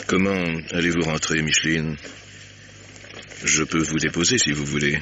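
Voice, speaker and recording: Cool, insistent businessman: very deep, sharp-edged voice, slightly noisy old radio play recording. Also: steady tone, normal speed. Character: cool, insistent male company executive. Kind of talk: old French radio drama acting.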